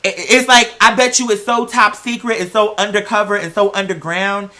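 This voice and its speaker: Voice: mid-range voice, steady tone, moderate pace, bright, forceful tone. A sassy, emphatic online creator giving a casual, opinionated rant to viewers, with lots of slang and free swearing.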